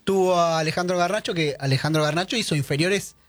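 A man's voice: a little high, fast talker, no echo. A man, explaining football player data in casual sports talk, listing facts and names.

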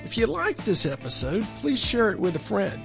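A man hosts a casual interview podcast in a friendly, chatty voice that sits a little high for a man. He laughs easily with his guest. Faint music plays softly underneath. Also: huge pitch swings, moderate pace, gravelly. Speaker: podcast host.

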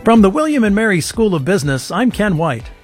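A man's voice: high-pitched, very animated, a little fast, soft background music. A man presenting a short scripted business spot. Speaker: podcast host. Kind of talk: scripted radio promo.

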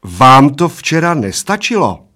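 A man voicing a stern, fed-up pub waitress in a storybook reading, with sharp, clipped, threatening delivery. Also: fairly high pitch, very animated, voice pushed up, heavily acted. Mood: scolding. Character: stern, fed-up pub waitress scolding rowdy old men.

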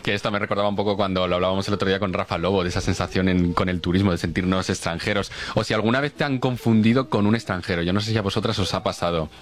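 A man's voice: low voice, fairly even intonation, very fast, few pauses. A man co-hosting a casual radio chat. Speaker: radio co-host.

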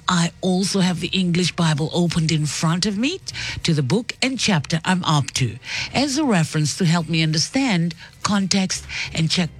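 A radio host on a Sunday morning show, reading a news story aloud and breaking off for chatty, upbeat asides. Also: mid-range voice. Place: radio studio.

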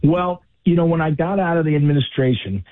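A man with a voice going hoarse, a radio guest talking casually, repeating and correcting himself. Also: on the high side, a little fast, no echo. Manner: chatty, self-correcting, repeats words. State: losing his voice. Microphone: phone or call-in line. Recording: tinny phone line.

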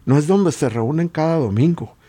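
A man with a Latin American Spanish accent and a husky voice, preaching informally and emphatically with repeated rhetorical questions. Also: a little high, expressive, moderate pace, mellow. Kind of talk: informal exhortation sermon.

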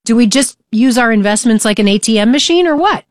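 A woman co-hosting a radio show, reading announcements in a brisk, announcer-like way. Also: on the high side, animated, no echo, studio quality. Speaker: radio co-host. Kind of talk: radio announcement and host talk.

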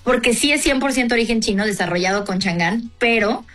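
A woman's voice: a little high, a little fast, a bit bright, faint music behind. A woman, a car reviewer co-hosting a casual car talk show, who thinks aloud with many fillers and restarts.

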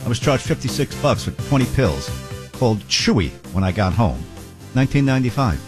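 A man's voice: medium pitch, sing-song, brisk, over music. A man hosting a talk radio show, chatting loosely and often stumbling over repeated words as he starts a sentence. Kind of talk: casual talk radio banter.

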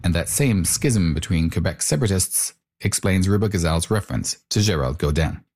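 A man reporting and narrating a radio news feature in a measured, explanatory way. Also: sits low, expressive, darkish tone.

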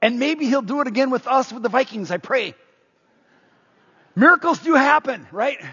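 A man's voice: extremely high pitch, lively intonation, moderate pace, bright, forceful tone, breathy edge. A middle-aged man preaching a sermon in a conversational way, often putting questions to the congregation. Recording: dull, reverberant hall sound.

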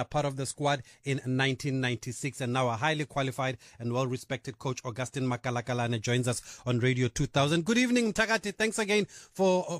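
A man hosting a radio sports show, talking in a lively way and laughing easily with his guest. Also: medium pitch, no echo, studio quality. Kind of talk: radio interview.

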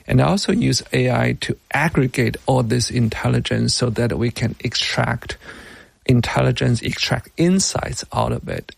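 Gravelly middle-aged man with a Chinese accent. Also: mid-range voice, dry recording. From Beijing, China. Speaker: tech company founder being interviewed. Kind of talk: explanatory interview talk.

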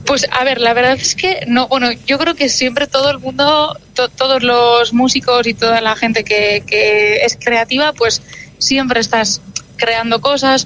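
A Basque-native woman, a jazz singer, answering interview questions in a relaxed, thoughtful way with many filler words. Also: a little high, slight background noise, rough recording.

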